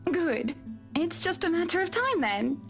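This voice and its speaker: An imperious society lady from a visual novel game. Her high voice swings expressively, polished and breezy as she takes command, with music running underneath. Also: big pitch swings, measured pace, full character voice. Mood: brisk, imperious. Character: haughty wealthy female client, commanding and breezy.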